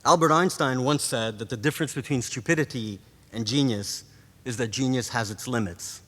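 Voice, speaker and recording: Brief: male professor, Montreal-raised Greek native speaker, measured rhetorical commencement speech, mid pitch, long hall reverb. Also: a bit bright. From Montreal, Canada. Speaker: professor giving a graduation speech. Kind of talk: formal commencement address.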